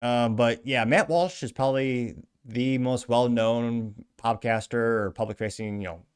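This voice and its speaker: A man's voice: mid-range voice, steady tone, a little slow, smooth. A man hosting his own show, reading social media posts aloud with chatty asides in casual news commentary. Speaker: show host.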